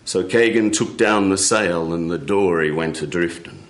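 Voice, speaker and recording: A man's voice: low-pitched, moderate pace. A man telling a folk tale live, in a theatrical, incantatory storytelling manner. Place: radio studio before a live audience. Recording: roomy, echoing live radio studio.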